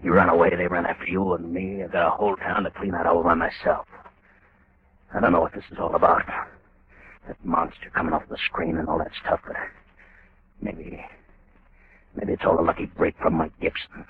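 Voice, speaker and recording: A gruff, callous boss. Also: male voice, low voice, monotone, moderate pace, pauses often. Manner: blunt, dismissive, tough-talking. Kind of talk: 1940s radio acting. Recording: muffled old radio recording, faint hiss.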